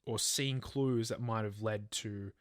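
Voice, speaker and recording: A man's voice: fairly low pitch, fairly even intonation, measured pace, no room sound, studio quality. A man co-hosting a true crime podcast, telling a case from notes in casual language, stumbling and correcting himself. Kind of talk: semi-scripted case narration with casual chat.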